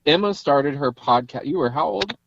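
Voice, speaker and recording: A man's voice: fairly high pitch, expressive, moderate pace, vocal fry, fairly bright tone, slight background noise. A man speaking as an interview guest in casual, informal conversation, thinking aloud as he goes, over dull band-limited call audio. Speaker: guest, entrepreneur and father.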